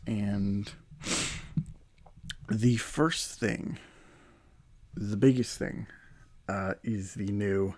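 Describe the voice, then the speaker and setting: Low-voiced, slightly nasal man chatting casually. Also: darkish tone. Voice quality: slightly nasal from a runny nose. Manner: rambling, hesitant, conversational. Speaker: podcast host. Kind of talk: casual solo podcast chat.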